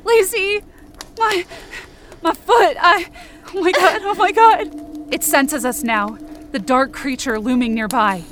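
A woman playing a frightened young girl who narrates an audio fiction horror story in a tense, breathy, emotional way. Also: very high-pitched, a little slow, long pauses, background noise. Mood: panicked, desperate. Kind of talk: first-person dramatic audio fiction narration.

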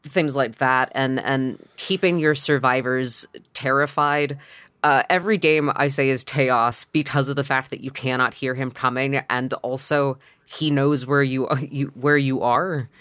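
Man, podcast co-host, dry close sound, medium pitch, halting casual talk. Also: steady tone, sharp tone, clear, resonant tone, no echo. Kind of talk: casual discussion.

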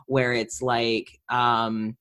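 A woman interviewer and actor chatting casually, animated and slipping into imagined quotes as she talks. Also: rumbling low, extremely slow.

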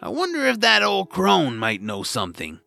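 Grumpy sarcastic swamp creature: heavy gravel, bright forceful edge, reluctant hero of a fantasy audio drama. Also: male voice, high-pitched, huge pitch swings, moderate pace, faint music behind, full character voice. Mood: grumbling. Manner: blunt, sarcastic, grumbling. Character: grumpy nap-loving male swamp creature, gruff reluctant rescuer.

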